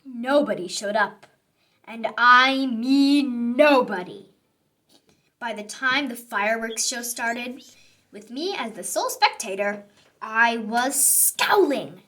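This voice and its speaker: A woman's voice: a little high, expressive, slow, pushed and bright, heavily acted. A woman voicing a touchy, grudge-holding sour grape in a dramatic, emphatic read-aloud for children. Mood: bitter, brooding. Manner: dramatic, emphatic, growly outbursts.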